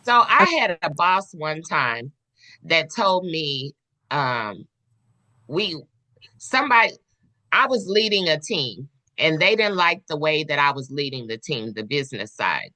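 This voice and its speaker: A woman in a casual podcast discussion who speaks in a measured way, asking questions and telling stories from work. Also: sits low, very animated, sharp tone. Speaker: podcast panelist.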